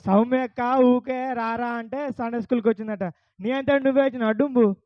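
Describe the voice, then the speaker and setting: A man's voice: very high voice, steady tone, a little fast, muffled recording. A man with a Telangana Telugu accent hosting a puppet show, coaxing a child audience with questions. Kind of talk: lively talk to a child audience.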